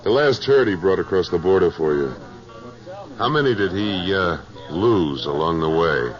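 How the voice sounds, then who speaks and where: Deep, terse American cattleman-gunfighter for a 1950s radio western; self-assured, plain-spoken, on a dull old broadcast recording. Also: animated, moderate pace, over music. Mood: coolly accusing. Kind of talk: 1950s radio western acting, first-person narration and dialogue.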